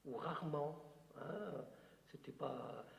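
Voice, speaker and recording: A man's voice: a little high, animated, slow pace, gravelly. A male author from Algeria, whose first language is Algerian Arabic, giving an unscripted talk to an audience, digressive and emphatic with many fillers. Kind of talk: unscripted lecture answering a question. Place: hall with audience. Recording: roomy hall with long reverb.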